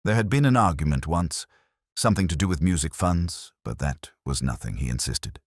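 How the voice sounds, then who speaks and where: Really low husky guy narrating a detective tale, measured and wry, bookish wording. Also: expressive, dry recording, clean studio sound. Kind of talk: scripted first-person detective story narration.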